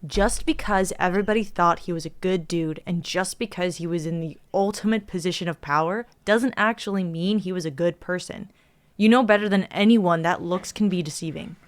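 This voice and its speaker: A woman's voice: fairly low pitch, moderate pace, close to an everyday voice. A woman playing a determined, impatient young amateur sleuth in a dramatic script reading, emphatic and slangy. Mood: pleading, firm. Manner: emphatic, colloquial.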